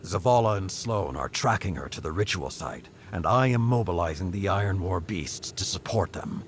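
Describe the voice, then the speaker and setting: An actor playing a stern old warlord in a video game, gruff, formal and commanding. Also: male voice, sits low, animated, normal speed, a little hiss or hum. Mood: grave, urgent. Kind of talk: dramatic video game voice acting. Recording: game dialogue over background music.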